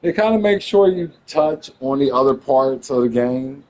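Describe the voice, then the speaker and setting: A man's voice: mid-range voice, lively intonation, normal speed, a little mellow, poor audio. A young man casually chatting and swearing while playing a video game, rambling in a laid-back way. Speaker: gamer playing on stream. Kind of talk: casual chat while gaming.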